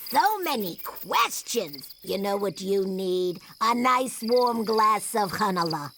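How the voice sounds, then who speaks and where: A woman's voice: medium pitch, sing-song, slow pace, background noise. An actress playing a doting older bee neighbour in a cartoon video game, motherly and sweet.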